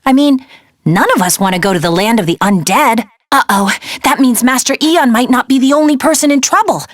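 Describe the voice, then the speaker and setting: A heroic young adventurer woman played by an actress in a video game, with a high and very lively voice. She is brisk and earnest, bossing and encouraging her team with real care, recorded close and dry. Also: dry recording, natural voice. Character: spirited young female adventurer, bossy, caring, heroic. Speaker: video game character, dispatcher and guide. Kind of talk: animated video game voice acting.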